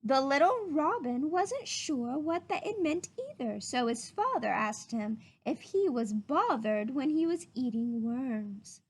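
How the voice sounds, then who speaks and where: Storybook mother raccoon played by a woman: soothing, patient, high and slow, melodic swings. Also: big pitch swings, slightly rough, heavily acted. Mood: patient, explaining. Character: gentle, patient mother raccoon telling a tale. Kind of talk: storybook character voice.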